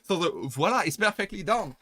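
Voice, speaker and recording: A man with a French accent, chatting casually on a live stream and rambling through halting restarts and self-deprecating asides. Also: high voice, lively intonation, sharp tone, no room sound, studio quality. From France. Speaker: VTuber streamer.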